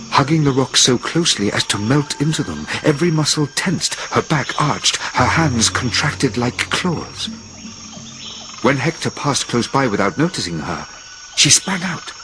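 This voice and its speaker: A man reading a first-person adventure story as a theatrical, suspenseful audiobook narrator, with measured, deliberate phrasing. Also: mid-range voice, background noise. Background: music under the voice.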